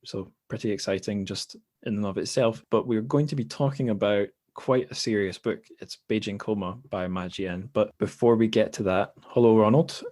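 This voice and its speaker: Chatty Scottish man, lowish voice. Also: moderate pace, few pauses, a little mellow. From Scotland. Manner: chatty, rambling asides. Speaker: podcast host. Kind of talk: casual podcast chat and intro.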